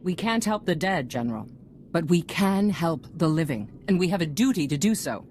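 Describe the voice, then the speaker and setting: Casting: poised female galactic leader in a sci-fi game, low voice, polished statesmanlike delivery. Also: very animated, normal speed, a little mellow, slight background noise. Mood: firm, resolute. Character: poised, self-assured female head of a galactic government. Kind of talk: video game cutscene voice acting.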